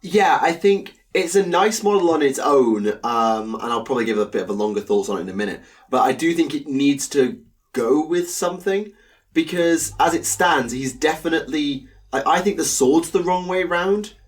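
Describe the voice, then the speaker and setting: A young man co-hosting a casual podcast, talking haltingly with plenty of ums and uhs. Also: fairly high pitch. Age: young adult. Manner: hesitant, lots of ums and uhs. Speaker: podcast co-host. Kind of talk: casual chat.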